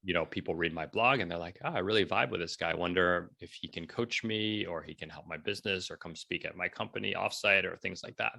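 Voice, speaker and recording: A man in his forties speaking casually as an interview guest, telling stories in an animated way and acting out bits of dialogue. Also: deep voice, fast talker, creaky, hardly any pauses. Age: 40s. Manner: animated storytelling, acts out quoted dialogue, often checks in with "right?". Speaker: entrepreneur and blogger being interviewed. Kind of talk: casual conversational interview talk.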